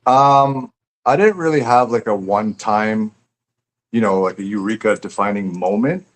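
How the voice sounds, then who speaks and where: A man speaking casually as an interviewed poet, reflective and halting as he searches for his words. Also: fairly low pitch. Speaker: poet and author being interviewed. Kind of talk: casual conversation about own writing. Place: live online stream.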